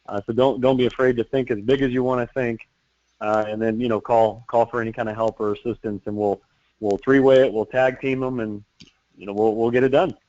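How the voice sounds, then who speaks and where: Sales manager on a conference line: easygoing, encouraging, full of fillers; dry, dull lo-fi sound. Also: male voice, mid-range voice, fairly even intonation, fairly quick, darkish tone, no echo. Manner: loose and encouraging, many fillers and restarts. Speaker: sales manager leading a team call. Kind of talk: casual business meeting talk.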